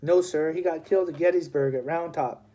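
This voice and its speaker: A man's voice: fairly high pitch, a little fast, warm tone, natural voice. A man voicing a plucky, anxious runaway city boy in a storybook, speaking earnestly and politely.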